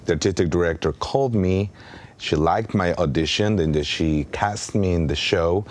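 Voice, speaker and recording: A man with an extremely low, deep voice and a Mexican Spanish accent answers interview questions. He is a native Spanish speaker in his thirties. He speaks earnestly, with frequent ums and restarts. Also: moderate pace. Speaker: artistic director. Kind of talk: interview guest.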